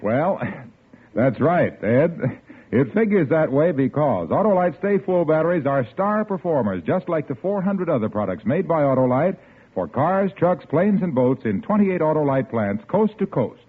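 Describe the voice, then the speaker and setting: A man's voice: a little high, big pitch swings, normal speed, slightly rough. A man announcing commercials and credits for an old-time radio program, in a persuasive, salesy way. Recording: tinny old radio broadcast.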